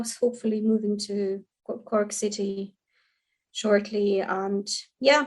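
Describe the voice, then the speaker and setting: Quick-talking Ukrainian woman. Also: medium pitch. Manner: quick, earnest, with many restarts. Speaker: guest, lawyer and historian. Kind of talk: podcast interview.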